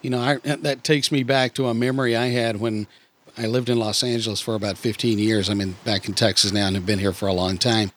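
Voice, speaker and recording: A middle-aged man hosting a podcast interview, talking conversationally in a rambling, halting way. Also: lowish voice, level tone, fairly bright tone.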